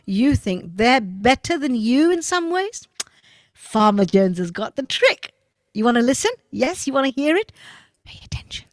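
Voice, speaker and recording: A woman storyteller speaking directly to children, with a high voice that leaps up and down expressively. She takes her time, and the sound is close and dry. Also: no echo. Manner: animated, talks directly to children.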